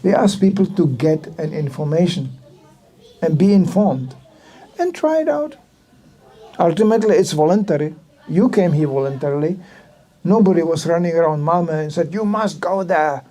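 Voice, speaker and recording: An older man from the Republic, giving a sermon at a temple and telling stories in a lively way, acting out the quoted voices. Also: a little high, normal speed. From Czech Republic. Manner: animated storytelling, acting out quotes. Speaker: visiting speaker at a temple.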